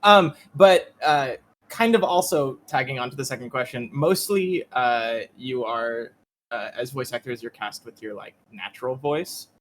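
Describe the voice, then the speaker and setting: A man's voice: mid-range voice, measured pace, fairly bright tone. A man speaking casually and a little hesitantly as a voice actor answering questions on a streamed panel. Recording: faint background noise on a stream.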